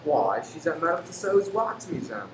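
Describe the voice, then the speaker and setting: Doting husband and father, played by a woman, low, very animated, theatrical radio drama, echoey room. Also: normal speed. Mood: bewildered, alarmed. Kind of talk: radio play acting. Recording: roomy, echoing, faint background noise.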